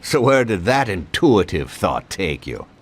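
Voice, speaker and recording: A man's voice: deep voice, big pitch swings, a little slow, husky, full character voice. A man playing a skeptical, sardonic interrogator with an American accent, probing a confessing gangster in a game cutscene. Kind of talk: dramatic game cutscene dialogue.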